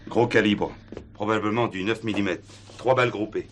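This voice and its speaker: A man's voice: on the low side, fairly even intonation, moderate pace, slight background noise, close to an everyday voice. A man playing a matter-of-fact, slyly teasing police investigator in a French detective stage play.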